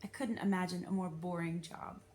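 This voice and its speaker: A woman narrating a children's novel aloud in an expressive, animated and emphatic storytelling style. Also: on the low side, moderate pace, a little hiss or hum.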